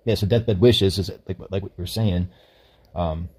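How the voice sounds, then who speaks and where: Low husky guy rambling thru a faith chat, mellow sound, keeps restarting sentences. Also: normal speed. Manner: rambling, self-correcting, laughs often. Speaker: podcast co-host.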